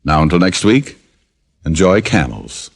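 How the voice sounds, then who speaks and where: A middle-aged man delivers a live 1950s radio message, smooth and a touch wry. His voice sits fairly low but moves freely up and down. He reads like a seasoned star addressing a listening audience. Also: very animated, a little slow. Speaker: star and sponsor spokesman.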